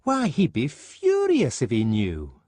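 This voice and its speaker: A man voicing a prim, fussy woman who scolds her friend in a shrill, disapproving tone. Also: huge pitch swings, slow pace, a little mellow, dry recording, exaggerated voice. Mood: scolding.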